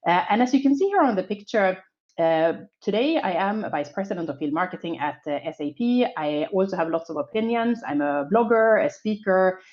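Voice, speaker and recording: A woman's voice: medium pitch, lively intonation, fairly quick, darkish tone. Upbeat Swedish-accented woman, lo-fi audio. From Sweden. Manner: upbeat, optimistic storytelling with fillers. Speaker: conference speaker. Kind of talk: online conference talk.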